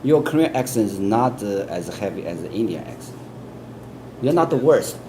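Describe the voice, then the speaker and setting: A man's voice: lowish voice, very animated, normal speed. A man with a Chinese accent giving interview answers as an English test expert, earnest, often repeating words and restarting. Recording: air conditioning hum in background.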